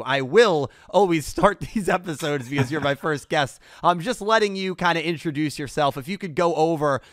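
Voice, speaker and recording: A young man hosting a fan podcast with a fairly high, smooth voice. The sound is dry and close. He is eager and laughs easily with his guest. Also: a bit bright, no room sound. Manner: enthusiastic, laughs often. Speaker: podcast host. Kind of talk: casual podcast interview.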